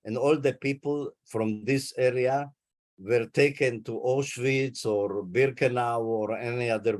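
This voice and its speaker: A man's voice: mid-range voice, a little mellow. A man in his eighties from Hungary, a native Hungarian speaker, carefully recounting his family history in an interview over a video call. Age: 80s. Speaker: interviewee, Holocaust survivor.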